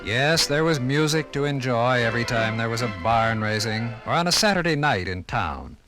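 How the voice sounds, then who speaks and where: A man narrating and explaining as a guide in a scripted 1950s radio program, with deliberate phrasing. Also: mid-range voice, expressive, over music.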